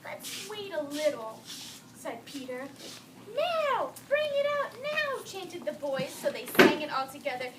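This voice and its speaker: A woman teacher reading a picture book aloud to young children, expressive, pausing to explain words and ask questions. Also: high-pitched. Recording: echoey noisy room from far off.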